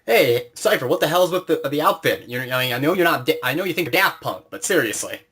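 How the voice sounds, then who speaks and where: A man's voice: a little high, expressive, quick pace, fairly bright tone, breathy edge. A man conducting a casual interview, explaining things informally as the interviewer. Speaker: interviewer and series creator.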